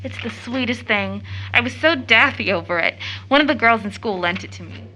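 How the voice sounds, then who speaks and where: A woman's voice: on the high side, lively intonation, brisk, lightly acted, rough recording. An actress playing an eager, tender-hearted teenage schoolgirl in an audio drama, chatty, girlish and earnest. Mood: girlish, wistful. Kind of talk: stage-play audio drama acting.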